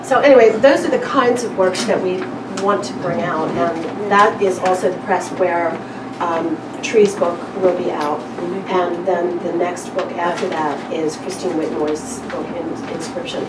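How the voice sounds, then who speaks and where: A woman with a low voice gives informal remarks as host of a gathering. She is heard from a distance in a roomy space, with clear noise over the recording. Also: unhurried. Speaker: small press publisher and event host. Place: echoey room at a live event.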